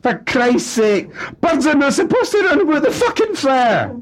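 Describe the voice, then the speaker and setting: A man voicing a fat, hot-tempered middle-aged man who shouts at a strained high pitch. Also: very animated, moderate pace. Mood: bawling grief.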